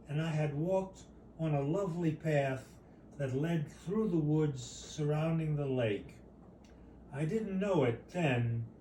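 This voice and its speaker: A man reading his own short story aloud as its author, in a measured, deliberate storytelling manner. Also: fairly high pitch, warm tone. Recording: roomy, distant mic, faint noise.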